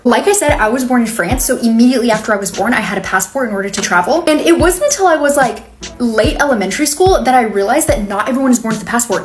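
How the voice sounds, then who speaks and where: Brief: young American woman, quick chatty vlogger, slightly high voice, faint music behind. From American, raised in Tunisia. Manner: chatty and upbeat, speaks to viewers. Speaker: content creator. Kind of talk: casual list-style vlog.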